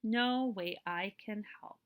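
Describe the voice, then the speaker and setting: Woman reading as an earnest, bright-voiced young girl. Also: medium pitch, slow pace. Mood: discouraged. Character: determined young village girl who longs to weave.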